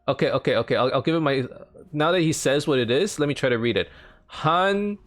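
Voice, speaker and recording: A young man from Canada, streaming a game from home and chatting casually with his viewers in a rambling, filler-heavy way, his pitch jumping up when he gets animated. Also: brisk, no room sound, faint music behind. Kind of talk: casual chat with live chat while gaming.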